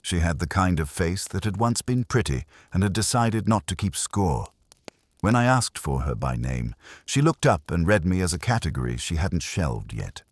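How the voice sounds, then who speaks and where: A man reads detective fiction in a very deep voice with a husky grain. His delivery is measured and wry, yet the pitch moves in lively swings. The recording is close and dry. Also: no room sound. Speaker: audiobook narrator. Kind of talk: scripted first-person detective fiction narration.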